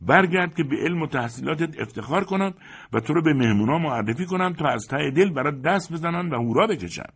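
Man voicing a high-pitched, excitable groomsman. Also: few pauses, breathy edge, full character voice. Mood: pleading, insistent. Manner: loud, insistent, effusive. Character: excitable groomsman, smug bully turned flustered, pleading flatterer.

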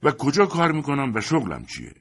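Man acting out a pompous, indignant proud gentleman. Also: mid-range voice, huge pitch swings, husky, pitched above the performer's own voice, exaggerated voice. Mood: imperious. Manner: pompous, declamatory, scornful.

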